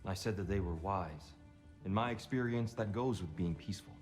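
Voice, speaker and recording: An actor playing a thoughtful, peaceable man with a measured, dry manner in a video game cutscene. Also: sits low, darkish tone, soft background music, close to an everyday voice. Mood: calm, assured.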